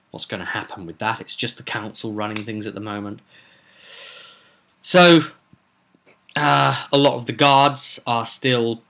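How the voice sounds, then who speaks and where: A man's voice: lowish voice, sharp tone. A man telling the story of a tabletop roleplay campaign in a casual, rambling, unscripted way, leaning hard on certain words.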